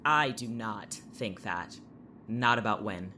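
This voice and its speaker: A man playing a jaded, defensive high school student in an audio drama, speaking in a blunt, sarcastic, irritable way. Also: lively intonation, slow pace, sharp tone, a little hiss or hum, full character voice. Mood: defensive, dismissive. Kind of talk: audio fiction dialogue.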